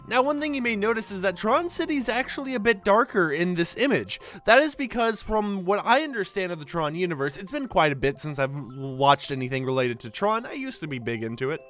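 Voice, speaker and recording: Young male gaming host, high voice, very bouncy, rambling casual delivery, soft music underneath. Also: expressive, a little fast, hardly any pauses. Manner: chatty, rambling with many likes and basicallys. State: sleepy, recorded early in the morning. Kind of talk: casual commentary video.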